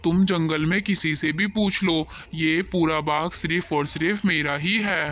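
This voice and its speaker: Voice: mid-range voice, fairly even intonation, a little slow, a bit bright, lightly acted. A performer voicing an adult cartoon bird in a children's story, with plain, declarative delivery. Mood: indignant. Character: cartoon birds: pigeon farmer, crow swindler, uncle. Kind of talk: Hindi animated children's story dialogue.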